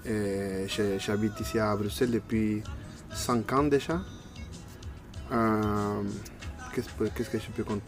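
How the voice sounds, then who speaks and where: A man's voice: lowish voice, darkish tone. An Argentine man in his thirties, a native Spanish speaker, talking casually in an interview and searching for words with a Spanish accent. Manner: hesitant, searching for words in a second language. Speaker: interview guest.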